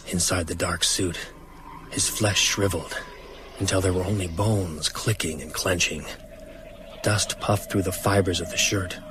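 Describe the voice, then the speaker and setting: A deep, slow voice gives a haunted man's account in a horror radio drama. He is grave and deliberate, over clearly noisy effects. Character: grim, haunted male witness narrating a vampire's death.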